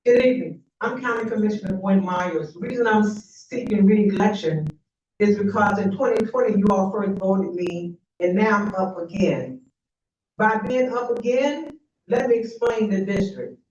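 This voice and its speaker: A woman's voice: medium pitch, normal speed, a little mellow, slight background noise. A middle-aged woman, a county commissioner, giving a confident re-election speech and bantering with the audience. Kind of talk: campaign speech at a forum. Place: community meeting room. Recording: echoey, distant and dull room recording.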